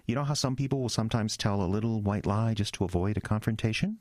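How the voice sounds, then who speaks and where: An actor playing an earnest, kind young small-town pastor in an audio drama, speaking hesitantly, stammering and trailing off. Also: male voice, fairly low pitch, fast, darkish tone, no echo, clean studio sound, natural voice.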